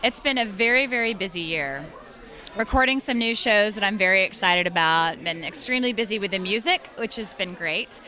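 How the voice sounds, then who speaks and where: A woman voice actress and singer chatting in a convention interview, bubbly and quick to laugh. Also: medium pitch, pushed and bright.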